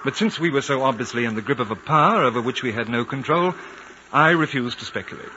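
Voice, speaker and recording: An actor playing a composed, courteous British consul in a radio drama, with understated delivery. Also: male voice, medium pitch, animated, fast, bright, forceful tone. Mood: reflective. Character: composed, courteous British consul, adventurer recalling his journey. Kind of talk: 1950s radio drama acting and narration. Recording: noisy, muffled old radio broadcast.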